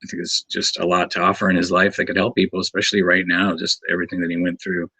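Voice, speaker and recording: A man being interviewed as a martial arts instructor, talking earnestly and haltingly, often repeating words as he searches for them. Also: low-pitched, monotone, bright, forceful tone, slightly rough, no echo. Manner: earnest and grateful. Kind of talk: casual interview talk.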